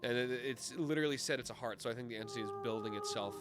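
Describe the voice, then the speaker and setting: A man's voice: mid-range voice, racing, loud music behind the voice. A man live-streaming a video game with casual, sweary, irreverent commentary.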